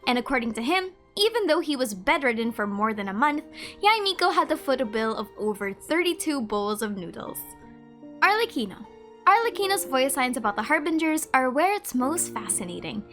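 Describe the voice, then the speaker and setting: A woman's voice: high voice, sing-song, fairly quick, fairly bright tone, faint music behind. A woman hosting a video with casual scripted narration. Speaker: video creator, host.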